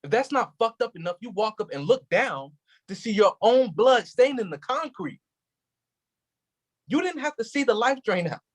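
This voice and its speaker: A man's voice: sits high, big pitch swings, normal speed. An actor playing a shaken, grieving male police officer in a staged play reading, raw and intense. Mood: anguished.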